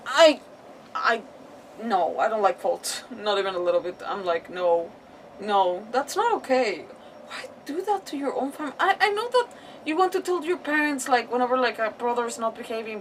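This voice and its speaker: Slightly high, rambling woman streamer, off-mic. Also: fairly bright tone. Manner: rambling, halting, word-searching. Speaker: live streamer playing a video game. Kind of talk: casual gaming commentary. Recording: distant mic, faint game noise behind. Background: video game audio.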